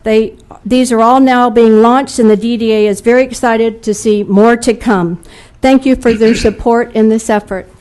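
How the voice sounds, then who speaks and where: A woman's voice: fairly high pitch, steady tone, moderate pace, dark tone. Woman reading a statement at a meeting. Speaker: local business owner speaking at public comment. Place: city commission meeting room.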